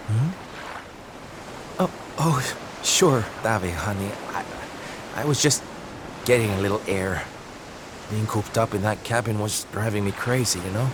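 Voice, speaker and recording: A man's voice: on the low side, measured pace, pauses often, noisy background, close to an everyday voice. An actor voicing a flamboyant theatrical male entertainer in a video game cutscene, dramatic and fond of pet names. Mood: despairing. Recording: game audio over ocean waves. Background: surf and waves.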